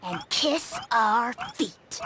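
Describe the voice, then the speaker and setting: A woman's voice: fairly high pitch, huge pitch swings, drawn-out words, background noise, heavily acted. A woman voicing a tiny, arrogant, hot-tempered dragon lord in a video game, with a pompous, theatrical delivery. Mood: haughty. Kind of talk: video game character acting.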